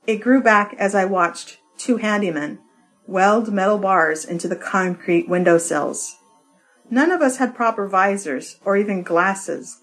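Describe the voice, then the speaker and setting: A woman giving an expressive poetry reading, with deliberate, measured phrasing and pauses. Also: lowish voice, poor audio. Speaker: poetry reader.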